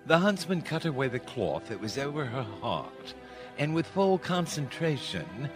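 A man's voice: mid-range voice, very animated, unhurried. A man narrating a radio play as a dramatic, scripted story. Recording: music behind the voice.

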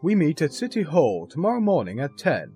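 A man's voice: a little high, huge pitch swings, fairly quick, mellow, faint music behind. A man playing a devoted, easygoing fiancé in an audio drama. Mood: cheerful.

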